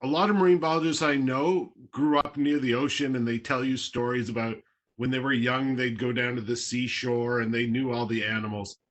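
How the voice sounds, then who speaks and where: A man's voice: medium pitch, fairly even intonation, moderate pace. A man from Toronto, Canada, a marine biologist talking casually on a video call, explaining in plain words with a few fillers.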